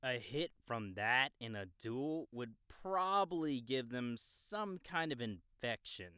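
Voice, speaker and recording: A man's voice: medium pitch, lively intonation, slow, sharp tone, clean tone, dry recording, clean studio sound. A man playing a cocky, laid-back young gamer guide in an audiobook, casual, slangy and teasing. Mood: explanatory. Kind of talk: audiobook character dialogue in a game-world story.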